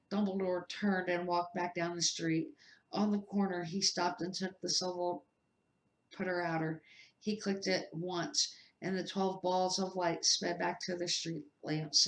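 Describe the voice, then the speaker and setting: An older woman reading a children's storybook aloud as narrator, in a halting, unpolished way. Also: lowish voice, slight background noise. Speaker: storybook reader. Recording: echoey amateur room recording.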